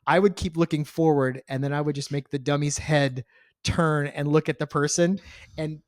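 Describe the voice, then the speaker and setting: Male podcast co-host, middle-aged, fairly high voice, wry storyteller, casual banter, dry close-miked sound. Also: steady tone, normal speed, no room sound. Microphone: close podcast microphone.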